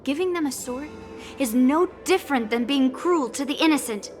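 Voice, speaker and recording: A woman's voice: sits high, animated, moderate pace, darkish tone, faint music behind. A woman voicing a proud, blunt, self-assured young girl fighter in a video game. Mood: resolute, serious.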